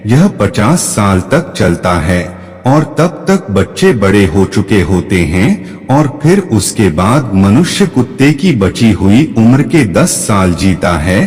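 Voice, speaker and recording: A man narrating a devotional fable in a measured, solemn way. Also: on the low side, big pitch swings, a little mellow. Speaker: storyteller. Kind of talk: slow devotional story narration. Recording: long hall reverb.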